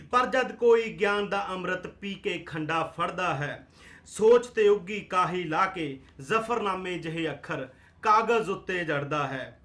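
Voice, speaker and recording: An actor playing a defiant truth-teller who exhorts cowardly masses in declaimed, rhetorical stage verse. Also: male voice, high voice, a bit bright.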